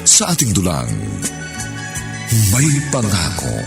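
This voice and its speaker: A Filipino man announces a radio serial in a deep, slow voice over music. His dramatic delivery sweeps widely in pitch. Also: wildly swooping pitch.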